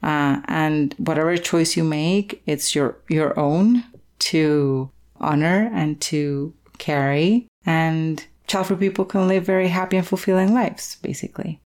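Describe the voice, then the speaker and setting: Low-voiced woman, podcast host. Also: measured pace, darkish tone. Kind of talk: conversational book recommendation talk.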